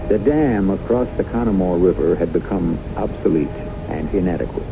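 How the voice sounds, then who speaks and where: An actor as a grave storyteller-host, narrating a 1970s radio drama in a measured, portentous manner. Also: male voice, animated, vocal fry. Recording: noisy, rumbling, muffled 1970s radio recording.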